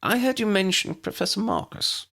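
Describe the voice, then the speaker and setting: A man voicing a tiny, elderly, flustered and loyal male professor with a squeaky, old-fashioned, exclamatory delivery, in an audiobook story. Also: normal speed, creaky, bright, forceful tone, full character voice. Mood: earnest.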